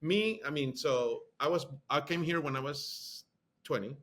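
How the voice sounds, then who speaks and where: A man's voice: fairly high pitch, unhurried, a bit bright. Earnest man with a Spanish accent. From Honduras. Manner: earnest, emphatic, repeats key phrases. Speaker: guest, marketing executive. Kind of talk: podcast interview.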